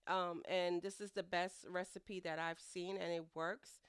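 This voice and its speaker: A woman's voice: on the low side, level tone, measured pace, clear, resonant tone, dry recording, studio quality. A middle-aged woman narrating her home cooking in a chatty, rambling way, sounding nasal from a head cold. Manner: chatty, rambling, laughs at herself. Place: home kitchen.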